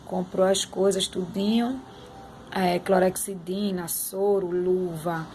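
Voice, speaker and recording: A young woman vlogging about her son's time in hospital, slightly hoarse, talking to her viewers in a heartfelt, chatty way. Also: fairly low pitch, steady tone, slow, darkish tone, a little hiss or hum. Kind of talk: emotional personal vlog.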